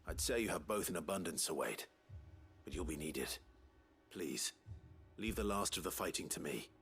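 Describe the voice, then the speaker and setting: An actor playing a calm, courteous warrior lord, in an understated video game performance. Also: male voice, low-pitched, moderate pace. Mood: calm, assured. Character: stoic lordly warrior hero, courteous, calm. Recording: faint ambient noise.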